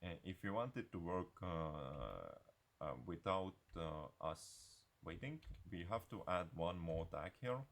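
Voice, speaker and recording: A man giving an unscripted software screencast tutorial in a halting, hesitant way. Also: sits low, lively intonation.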